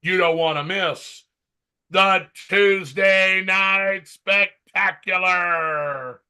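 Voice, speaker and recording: A man's voice: high-pitched, steady tone, drawn-out words, bright, forceful tone, smooth. A man hosting a casual sports podcast while under the weather, his voice worn out, with a dry, teasing way of talking. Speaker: podcast host.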